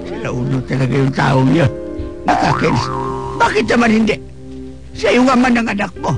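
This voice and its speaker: Over a loud music bed, an exasperated older father in a Filipino radio drama. His voice sits high and leaps around as he nags with flippant, exaggerated comic timing. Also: very animated, moderate pace, creaky. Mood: comic, irritated.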